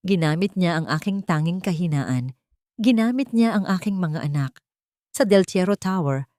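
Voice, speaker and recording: Female story narrator, lowish register, solemn and measured dramatic delivery, close dry recording. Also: darkish tone, no room sound. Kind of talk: scripted dramatic first-person narration of a Tagalog romance drama.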